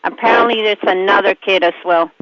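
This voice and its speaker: Ramp controller, radio transmission, muffled, steady static, matter-of-fact. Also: medium pitch, brisk. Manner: calm, businesslike, matter-of-fact. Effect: aviation radio transmission. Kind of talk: radio exchange with a pilot.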